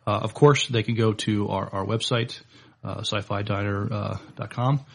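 A man co-hosting a casual podcast, speaking in a halting, hesitant way with many fillers and pauses. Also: lowish voice, steady tone, creaky. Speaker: podcast co-host.

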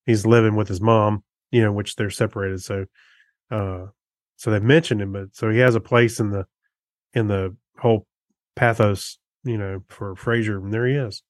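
A man co-hosting a podcast, giving casual opinions on shows in a halting, loosely rambling way. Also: on the low side, steady tone, no echo. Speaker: podcast co-host. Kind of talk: casual chat reviewing TV shows.

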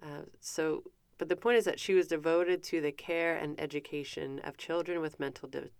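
A woman's voice: low voice, steady tone, moderate pace, gravelly, clean tone, no echo, clean studio sound. A woman giving an informal history lesson, chatty and self-correcting, with frequent fillers and asides. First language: not French. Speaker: presenter explaining an educator's life. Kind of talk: casual informal lecture.

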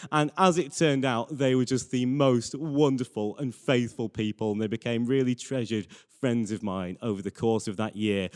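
A man preaching a sermon with personal anecdotes, earnest and repeating phrases for emphasis. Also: medium pitch. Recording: reverberant hall.

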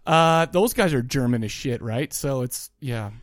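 A man's voice: medium pitch, lively intonation, unhurried, dry recording, studio quality. A man co-hosting a podcast in casual comic banter, teasing, swearing and repeating himself. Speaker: podcast co-host.

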